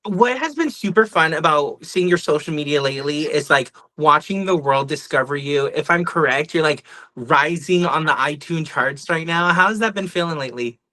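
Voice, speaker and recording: Bright-voiced male podcast host. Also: a little high, moderate pace. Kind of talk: enthusiastic interview chat.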